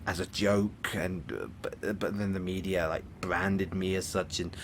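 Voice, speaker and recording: A man playing a lonely, lovesick supervillain in an audio roleplay, theatrical and halting, often dropping into breathy near-whispers. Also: deep voice, a little hiss or hum. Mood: sheepish, rambling. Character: lovesick, lonely supervillain, suave musical phantom, obsessive and theatrical. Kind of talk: intimate audio roleplay acting.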